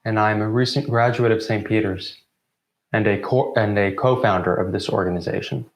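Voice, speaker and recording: Young male event host, low-pitched, formal and measured, recorded in a roomy echo. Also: fairly even intonation. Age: 20s. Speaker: co-founder of student theatre group introducing an event. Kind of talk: formal welcome speech. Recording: roomy, echoing sound.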